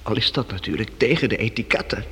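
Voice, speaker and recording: An actor playing a gentle, dutiful, joking young lad in a Dutch radio play, boyish and slightly hesitant. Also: male voice, on the low side, fast talker, close to an everyday voice. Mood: teasing. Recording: reverberant, noisy old radio play recording.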